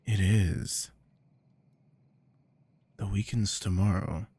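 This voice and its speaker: Man playing a deep, dark-voiced domineering boss. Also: fairly even intonation, very slow, slightly rough, heavily acted. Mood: softer, tired. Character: possessive, weary, domineering male office boss. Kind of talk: scripted ASMR roleplay acting.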